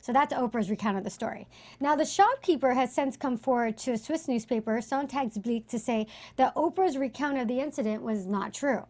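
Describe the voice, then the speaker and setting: A woman's voice: on the high side, brisk, a little mellow. A woman hosting a talk show, presenting news items and discussing them with a panel.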